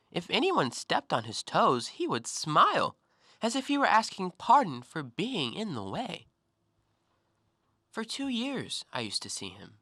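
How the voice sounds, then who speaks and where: An actor in an audio play, playing a talkative, self-assured intellectual who probes and needles with articulate, deliberate theatrical phrasing. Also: male voice, high voice, bright, forceful tone, no room sound, clean studio sound, exaggerated voice. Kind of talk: stage-style audio play monologue.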